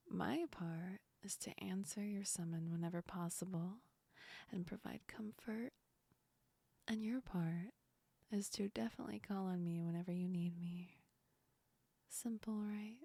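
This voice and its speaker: A woman voicing a gentle, affectionate female sleep demon in a soft, breathy ASMR whisper, close to the microphone, soothing and lightly teasing. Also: fairly low pitch, no echo. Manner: slow, soothing, reassuring, lightly amused. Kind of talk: ASMR roleplay, whispered and intimate.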